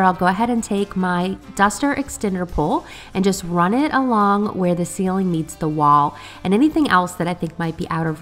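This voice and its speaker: A woman in her thirties narrating her cleaning vlog in a friendly, upbeat way while getting over a cold. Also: on the low side. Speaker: cleaning vlogger.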